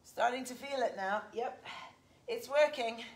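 A woman's voice: on the high side, unhurried. English woman coaching a gym workout. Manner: upbeat, cueing reps while exercising. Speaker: fitness instructor. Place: large indoor gym. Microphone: fixed camera a few metres off.

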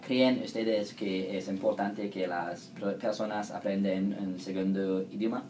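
A young man reading set interview questions in a plain, even way, recorded in an echoey room. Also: on the low side, unhurried. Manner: reads set questions, brief thanks. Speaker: student interviewer. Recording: echoey room, faint noise.

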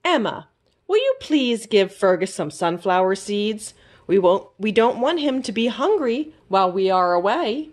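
A woman's voice: mid-range voice, sing-song, unhurried. A woman voicing an upbeat, caring female primary school teacher giving encouraging instructions in a storybook. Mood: kindly.

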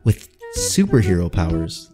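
A cocky, lovesick teenage boy superhero acted by a man in a cartoon-style comic dub. He talks slowly and playfully, with wry hesitations and an animated, moving pitch. Faint music runs underneath. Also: full character voice. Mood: puzzled, dry.

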